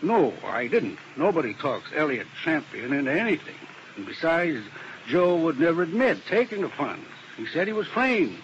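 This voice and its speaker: A man's voice: fairly high pitch, sing-song, normal speed, slight background noise. An actor playing a male defense lawyer with ulcers who talks in a rambling, wistful way, in a 1950s radio drama. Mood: rueful. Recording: muffled old radio recording.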